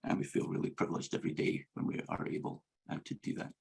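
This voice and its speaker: A man with a Scottish accent giving an academic medical lecture as a professor, in a measured, earnest and hesitant way. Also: darkish tone. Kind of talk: academic grand rounds presentation.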